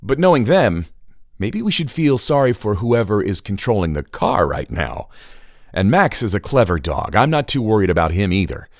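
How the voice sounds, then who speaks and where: Deep-voiced, animated male storyteller, dry sound. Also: moderate pace, dry recording. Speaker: narrator. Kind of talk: scripted storytelling narration and credits for children.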